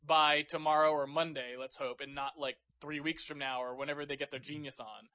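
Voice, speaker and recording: A man with a high, bright voice talks casually on a podcast mic. His rants are animated and full of profanity, and he tells them with gusto. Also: a little fast. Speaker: podcast co-host. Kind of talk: casual chat. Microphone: podcast microphone.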